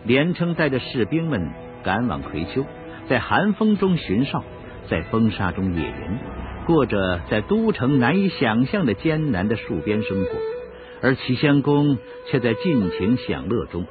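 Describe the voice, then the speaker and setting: A man's voice: lowish voice, big pitch swings, moderate pace. A man narrating a radio drama as a dramatic storyteller, reading a scripted tale. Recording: muffled radio recording with music. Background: clear background music.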